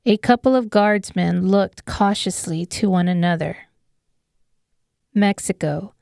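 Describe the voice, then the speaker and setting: A woman's voice: mid-range voice, a little slow, creaky, a little mellow, no echo, clean studio sound. A woman reading scripted narration of an apocalyptic horror audiobook. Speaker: author narrating her audiobook.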